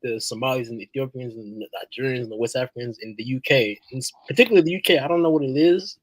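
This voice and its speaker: A man's voice: mid-range voice, fast talker, no room sound, rough recording. A man who grew up in Australia, chatting casually on a podcast panel, stumbling and repeating words as he thinks. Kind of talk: casual chat.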